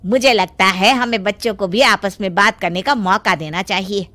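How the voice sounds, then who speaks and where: A woman's voice: mid-range voice, moderate pace, sharp tone, no room sound, pitched below the performer's own voice, natural voice. A woman voicing a polite, agreeable middle-aged parent of a bride, speaking courteously and formally in a cartoon family drama.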